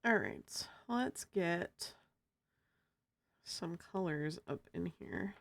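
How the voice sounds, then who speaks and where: A feminine-voiced streamer chatting casually while drawing, giggling often and cracking silly jokes. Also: female voice, fairly low pitch, expressive, drawn-out words, gravelly, dry recording. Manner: giggly, jokey, laughs often.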